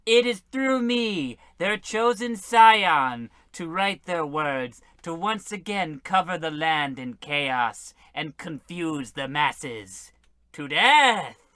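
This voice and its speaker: An actor playing a grandiose, menacing novelist who serves dark gods, in theatrical old radio horror comedy style. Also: male voice, on the high side, expressive, slow pace, smooth, no room sound, heavily acted. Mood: ominous.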